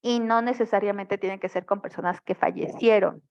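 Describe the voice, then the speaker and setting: A woman's voice: mid-range voice, steady tone, normal speed, vocal fry, a little mellow, dull audio. A woman teaching a family therapy class online, explaining and often checking in with her students. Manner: explanatory, frequent checking questions. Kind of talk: online lecture.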